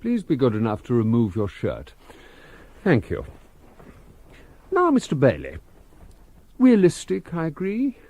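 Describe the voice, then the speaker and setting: An actor playing a genial, very old spacefaring scientist in a radio drama, speaking in a formal, measured, commanding way. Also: male voice, medium pitch, huge pitch swings, a little mellow. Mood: courteous demonstration.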